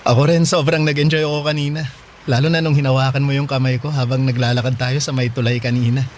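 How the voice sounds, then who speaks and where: A man's voice: mid-range voice, little pitch movement, fast talker, slight background noise, natural voice. A man playing a shy, sincere young suitor from the provinces in an audio drama. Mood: earnest. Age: young adult. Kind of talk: Tagalog audio drama acting. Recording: music behind.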